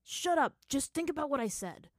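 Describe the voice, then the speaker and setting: A woman's voice: medium pitch, expressive, normal speed, darkish tone, no echo, studio quality, natural voice. Woman acting firm, impatient scarred man. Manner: clear, unchallenging, insistent. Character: stern scarred older young man, firm, impatient guide.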